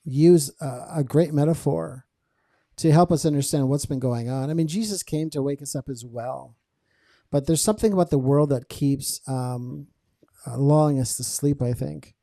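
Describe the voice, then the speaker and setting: Mellow-voiced male podcast host. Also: mid-range voice, moderate pace, gravelly, no room sound, studio quality. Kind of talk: conversational podcast interview with religious commentary.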